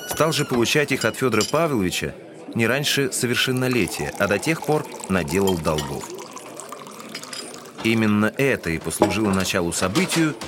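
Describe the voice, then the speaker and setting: A man's voice: lowish voice, big pitch swings, moderate pace, background noise. A man giving scripted literary narration in a Russian audio drama.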